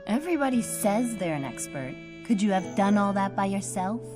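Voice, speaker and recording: Demanding princess, voiced by an actress, haughty, dismissive, lively pitch, game cutscene, prominent music. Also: female voice, moderate pace, lightly acted. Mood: skeptical. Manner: imperious, dismissive. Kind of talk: video game cutscene acting. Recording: loud music behind the voice.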